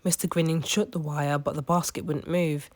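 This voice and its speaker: Low-voiced woman reading a children's story. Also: fairly even intonation, normal speed, darkish tone. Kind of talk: scripted children's story read-aloud.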